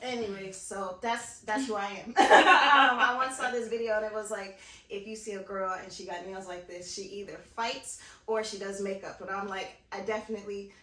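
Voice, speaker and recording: A woman's voice: mid-range voice, steady tone, normal speed, bright, forceful tone. A young American woman co-hosting a podcast in casual chat, playful and laughing often, talking to listeners as y'all. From United States. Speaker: podcast co-host. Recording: roomy sound from a distant mic.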